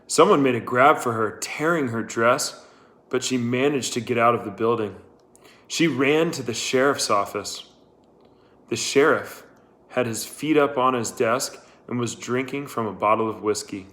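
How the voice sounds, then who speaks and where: A man reading a story aloud as a scripted narration, measured and deliberate. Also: medium pitch, a bit bright. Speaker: audiobook reader.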